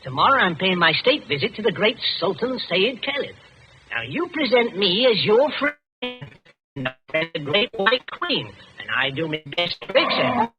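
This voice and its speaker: A man's voice: high voice, sing-song, moderate pace, music in the background. An actor playing a brash, showy London magician posing as a witch doctor in an old radio drama, talking in theatrical patter. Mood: cocky, persuasive. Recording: dull, noisy old radio broadcast.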